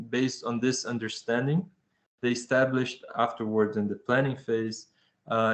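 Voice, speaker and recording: Male presenter, unhurried, monotone, lots of hesitations. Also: mid-range voice. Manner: halting, many fillers and restarts. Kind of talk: webinar presentation.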